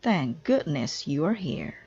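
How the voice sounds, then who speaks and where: A woman reading a children's storybook, voicing a flustered, bossy married lady who scolds briskly. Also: sits low, very animated, dark tone, breathy edge, dull audio, exaggerated voice. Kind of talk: children's storybook read-aloud.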